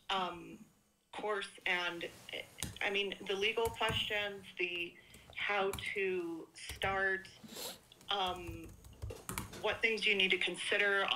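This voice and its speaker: A woman calling in to a live show, speaking slowly and haltingly to thank the host. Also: fairly low pitch, bright, forceful tone, a little hiss or hum, low-quality recording. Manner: slow, halting, grateful. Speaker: caller.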